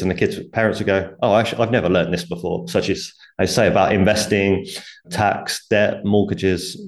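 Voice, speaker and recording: A man, a guest author, explaining money habits earnestly in a conversational interview, with light laughs. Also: lowish voice.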